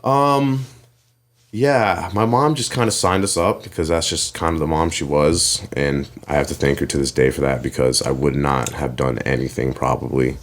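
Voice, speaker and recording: Very deep-voiced man telling stories. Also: normal speed. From Connecticut. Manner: relaxed, rambling storytelling. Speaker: guest. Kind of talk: casual interview talk.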